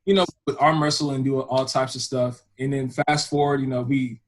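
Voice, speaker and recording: A young man hosts a casual podcast in a voice of average pitch. He rambles, leans on filler words, and keeps the chat easygoing. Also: flat delivery, moderate pace. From Houston, Texas. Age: young adult. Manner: rambling, full of fillers like "you know". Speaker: podcast host.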